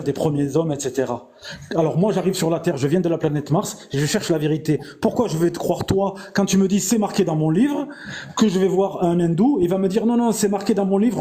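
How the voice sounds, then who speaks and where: A man's voice: on the high side, warm tone. A man hosting a heated online debate, lecturing and talking over the other speakers; a native Arabic speaker. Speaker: debate host.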